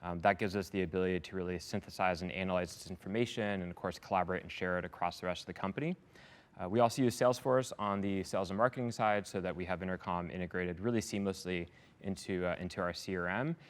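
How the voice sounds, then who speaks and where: Fast-talking man with a very deep voice. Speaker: head of sales, success and support at a software company, interviewed as a customer. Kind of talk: polished business interview.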